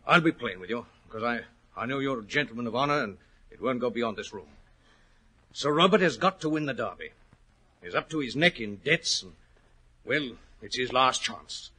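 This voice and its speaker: Worried racehorse trainer, bright husky voice. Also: male voice, mid-range voice, animated, normal speed. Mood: worried, earnest. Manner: earnest, rustic turns of phrase, dramatic storytelling. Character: worried, plain-spoken racehorse trainer, anxious and respectful. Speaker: actor in a radio drama. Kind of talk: 1940s radio acting. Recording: old 1940s radio recording.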